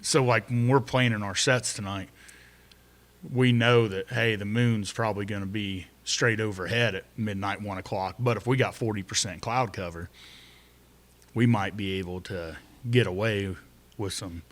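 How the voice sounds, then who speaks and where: A man's voice: on the low side, fairly even intonation, normal speed. A man hosting a hunting podcast in a plain-spoken, laid-back way, walking through the weather and the plans for the night. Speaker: podcast host. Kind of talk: casual chat.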